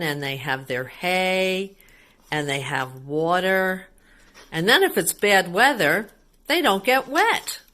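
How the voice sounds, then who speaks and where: A woman's voice: on the low side, sharp tone. A woman reading a picture book aloud to young children, asking simple questions and explaining things as a teacher would.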